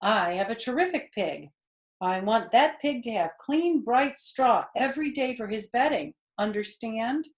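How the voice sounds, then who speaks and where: A woman's voice: on the high side, lively intonation, measured pace, voice pushed up. Storybook farmer, read by a woman: proud, emphatic, full of orders, echoey room. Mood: firm, proud. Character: proud, excitable male farm owner giving orders.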